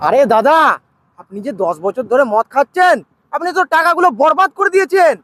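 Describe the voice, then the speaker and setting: A man's voice: very high-pitched, sing-song, fairly quick, a bit bright, exaggerated voice. A man playing an earnest, polite passer-by in a comedy skit, deferential and hesitant yet persistently questioning. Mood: earnest, preachy. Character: polite, nosy, moralizing male passer-by, earnest and naive.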